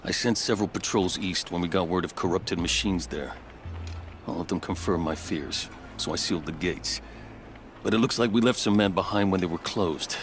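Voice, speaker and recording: A man playing a dutiful, proud veteran captain in a video game, speaking in a formal, earnest and measured way. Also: low voice, natural voice. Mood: wry, businesslike. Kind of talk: video game dialogue acting. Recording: background music under the voice.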